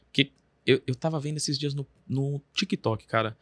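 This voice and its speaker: A man with a Brazilian Portuguese accent who hosts a podcast, asking questions in a relaxed, chatty way full of filler words. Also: mid-range voice, moderate pace, no echo. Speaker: podcast host. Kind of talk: casual podcast interview.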